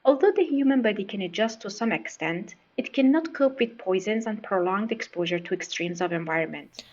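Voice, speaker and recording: A woman's voice: medium pitch, expressive, brisk. Woman reading aloud, dull recording. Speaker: reader of practice passages. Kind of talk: scripted read-aloud of exam passages. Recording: dull, lo-fi audio.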